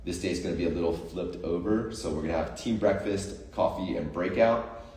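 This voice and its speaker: A man's voice: deep voice, fairly quick, a little mellow, roomy sound, far from the mic. A man, a coach and retreat organizer, talking through the plan casually and with enthusiasm, with lots of fillers and motivational lines. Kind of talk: casual promotional chat.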